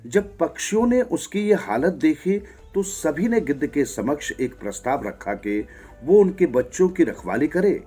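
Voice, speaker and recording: Animated, husky male storyteller. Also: on the high side. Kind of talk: scripted moral story narration. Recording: music behind the voice.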